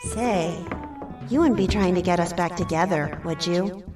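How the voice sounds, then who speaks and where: A woman's voice: lowish voice, a little slow, far from the mic, close to an everyday voice. An actress playing an earnest, suspicious female superhero in a comedic audio drama. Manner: skeptical, earnest. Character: sharp, suspicious female superhero, protective and loyal. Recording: echoey, off-mic, music behind.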